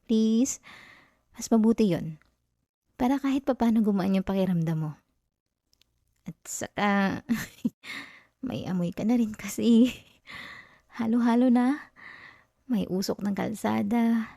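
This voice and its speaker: Mellow, close-up affectionate girlfriend, acted by a woman. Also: medium pitch, creaky, no room sound. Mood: playful, caring. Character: affectionate, teasing young live-in girlfriend comforting tired partner. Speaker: ASMR roleplay performer. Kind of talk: ASMR girlfriend roleplay, intimate and conversational.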